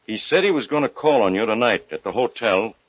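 A man's voice: mid-range voice, expressive, a little fast, husky, dry recording. A man playing a blunt, wisecracking police inspector with slangy, hard-boiled delivery in 1940s radio mystery style. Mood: wry warning. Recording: muffled old-time radio recording.

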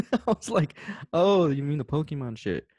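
A man's voice: on the high side, big pitch swings, moderate pace, darkish tone, husky, dry recording. Guy w/ a little spanish-y texan accent, chill podcast banter, laughs a lot, dry close mic. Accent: slight Spanish-tinged Texan. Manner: laughs often, swears casually. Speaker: podcast co-host. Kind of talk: casual chat.

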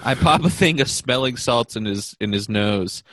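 A man's voice: mid-range voice, animated, normal speed, fairly bright tone, no echo. A man playing a comedic tabletop game, describing his character's actions playfully. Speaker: player.

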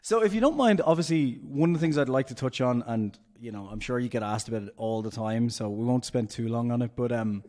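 A man's voice: medium pitch, fairly quick, few pauses, darkish tone. Chatty male podcast host. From Ireland. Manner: chatty, upbeat, rambling questions. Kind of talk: casual interview.

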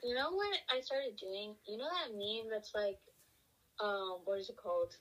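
A woman's voice: mid-range voice, moderate pace, fairly bright tone, rough recording. A woman in a casual chat who talks offhandedly and swears freely.

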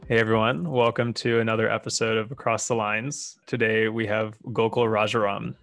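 Gravelly man hosting a podcast. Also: on the low side, little pitch movement, moderate pace, fairly bright tone, dry recording, faint music behind. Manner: friendly, chatty, many fillers. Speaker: podcast co-host. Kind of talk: casual interview chat.

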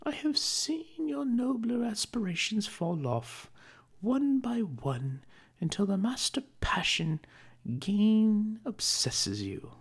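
A woman's voice: medium pitch, big pitch swings, slow pace, husky, exaggerated voice. An actress playing a young woman who earnestly and sadly ends an old engagement. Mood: reproachful. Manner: earnest, soft, sorrowful.

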